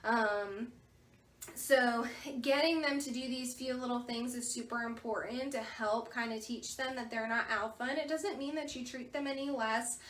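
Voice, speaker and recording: A woman from a veterinary clinic gives casual tips on puppy care, with a soft voice and lots of "you know" fillers. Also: on the high side, steady tone, moderate pace, a little hiss or hum. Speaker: veterinary staff member. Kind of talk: informal instructional talk. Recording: echoey room, distant mic.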